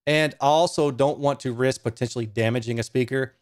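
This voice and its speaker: A man in his forties talks viewers through technical material in a conversational, step-by-step way. His mid-pitched voice is captured close on a studio mic in a small room, very dry with little echo. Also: steady tone, a little fast, smooth. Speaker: host, speaker reviewer. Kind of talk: casual technical explanation to camera. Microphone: close studio microphone.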